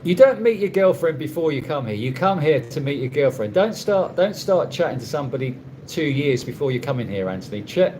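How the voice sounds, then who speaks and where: A man casually answering viewers' questions on a live stream, rambling and halting with many restarts and a dry, wry humor. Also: medium pitch, noisy background. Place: condo room.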